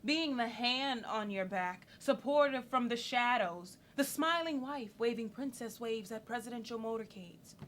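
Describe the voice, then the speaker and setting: A woman's voice: on the high side, animated, unhurried, hardly any pauses, a bit bright, a little hiss or hum. An actress playing a sarcastic, ambitious young woman scolding her partner in a theatrical monologue, cutting, articulate and wry. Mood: scornful, wry.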